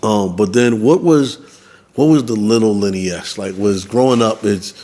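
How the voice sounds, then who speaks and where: A man with a fairly low voice hosts a casual sit-down interview. He feels his way through questions with restarts and fillers, talking like one friend to another. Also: moderate pace, mellow. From the Bronx. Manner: loose, searching phrasing with restarts. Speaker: podcast host.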